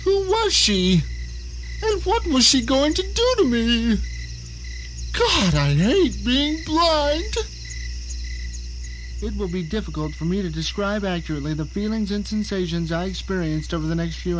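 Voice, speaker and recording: Male actor as blind middle-aged prisoner in his own home, arrogant and suspicious; high voice, theatrical first-person suspense drama, noisy backdrop. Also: big pitch swings, a little slow, fairly bright tone, full character voice. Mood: uneasy, frightened, then somber, tense.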